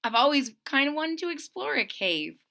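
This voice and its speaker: A woman's voice: high-pitched, very animated, normal speed, bright, forceful tone, pitched above the performer's own voice, full character voice. A woman voicing an eager, curious little toy bear boy full of wonder in a storybook reading. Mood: awed.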